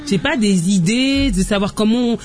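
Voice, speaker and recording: A woman hosting a live radio show as lively chat, teasing and playful, rambling as she goes. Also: medium pitch, moderate pace.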